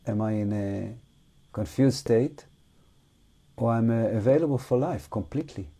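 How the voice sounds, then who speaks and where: A man who is not a native English speaker guides an unscripted meditation talk, reflective and halting, with long pauses. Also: mid-range voice, warm tone, husky. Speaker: meditation teacher.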